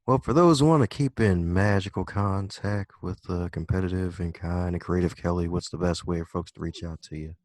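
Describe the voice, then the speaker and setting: A man's voice: sits low, fairly quick, few pauses, a little mellow, no echo, clean studio sound. A man hosting a podcast interview, upbeat and playful, repeating his catchphrases. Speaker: podcast host. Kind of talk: casual interview chat.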